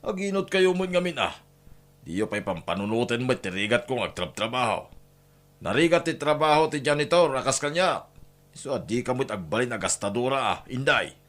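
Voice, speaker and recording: A man playing a callous, short-tempered, domineering husband and father with an Ilocano accent in a radio-style family drama, gruff and scornful. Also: medium pitch, sing-song, moderate pace, a bit bright. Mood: dismissive.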